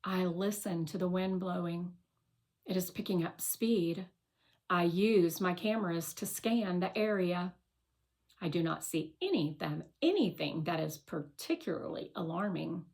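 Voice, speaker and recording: A woman narrating a children's novel aloud with careful, expressive storytelling. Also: fairly low pitch, unhurried.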